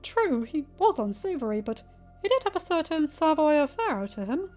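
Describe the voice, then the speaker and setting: A prim gentleman pony with poised, proper speech, as a woman reader puts him on. The pitch sits fairly high, and the recording is slightly muffled with soft music. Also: sing-song, moderate pace. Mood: wistful.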